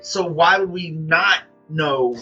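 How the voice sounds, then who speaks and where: American male vlog co-host, raspy forceful tone, loose colloquial delivery, acts out exchanges, music underneath. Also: fairly high pitch, slow pace. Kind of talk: casual anecdotal chat. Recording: dull lo-fi audio, music underneath.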